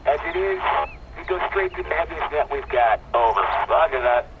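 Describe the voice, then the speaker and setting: An actor playing a callous, joking cop over a walkie-talkie in an audio drama, flippant and crude. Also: male voice, fairly high pitch, expressive, normal speed. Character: callous, joking city cop on police radio. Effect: walkie-talkie radio. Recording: muffled police radio, heavy static noise.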